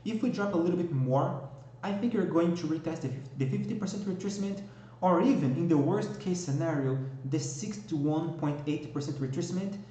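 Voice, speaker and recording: Brazilian-accented male market analyst, a bit high-voiced, talks through charts with lots of "okay?" check-ins, recorded in a very echoey room. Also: expressive, a little fast, warm tone. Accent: Brazilian Portuguese. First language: Portuguese. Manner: explanatory, frequent "okay?" and "all right?" checks. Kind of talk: technical analysis walkthrough.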